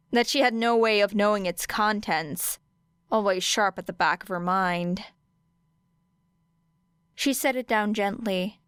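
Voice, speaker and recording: Female story narrator: very unhurried, crisp and resonant, deliberate phrasing, dry sound. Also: medium pitch, no echo. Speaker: audiobook narrator. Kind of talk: scripted fiction narration.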